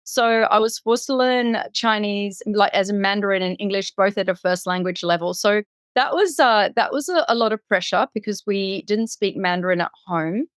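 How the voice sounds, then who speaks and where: A middle-aged woman from Singapore shares her life story as a podcast guest. She is articulate and reflective, with an average-pitched voice. The recording is dry, with no room sound. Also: moderate pace, no room sound. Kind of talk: personal storytelling in an interview.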